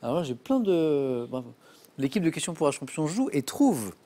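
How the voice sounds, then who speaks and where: Lively quiz show host, man. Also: on the high side, normal speed. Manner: lively, jokey, reads questions then banters with contestants. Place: TV studio.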